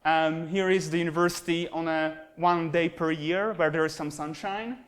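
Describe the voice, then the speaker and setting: A young Slovak man with a fairly high voice lecturing in a classroom. He talks in an easygoing, self-deprecating way, filling pauses with "uh" and "um" while pointing at slides. The sound comes from a camera at the back of the room. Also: steady tone. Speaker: guest lecturer, astronomy postdoc. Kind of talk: university lecture. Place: classroom with projector and computers.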